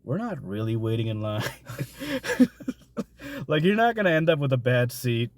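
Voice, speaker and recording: A man's voice: mid-range voice, very animated, measured pace. A man co-hosting a casual podcast, joking and laughing often as he recaps a convention. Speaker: podcast co-host.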